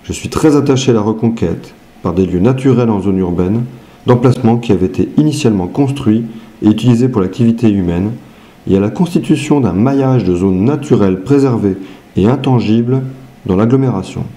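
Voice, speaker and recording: Mellow-voiced man reading prepared statements. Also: fairly low pitch, fairly quick. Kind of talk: scripted reading of written statements.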